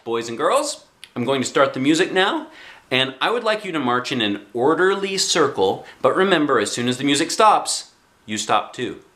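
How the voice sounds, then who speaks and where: Man doing an orderly school carnival lady, bright-toned. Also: medium pitch, big pitch swings, moderate pace, a little hiss or hum, voice pushed up. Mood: instructing. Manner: announcing, instructing. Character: polite, orderly cake lady running a school carnival game.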